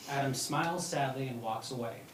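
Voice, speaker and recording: A man's voice: medium pitch, fairly quick, noisy background. Roomy, distant man reading stage directions. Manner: stumbles over lines, breaks into laughter. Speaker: narrator reading stage directions at a table read. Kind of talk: live script read-through, narrating. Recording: roomy, distant mic, music behind.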